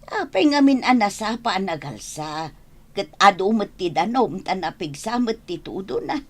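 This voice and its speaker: A woman's voice: medium pitch, big pitch swings, normal speed. A woman with an Ilocano accent playing a meddling, admonishing elder mother in a radio comedy drama. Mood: chiding.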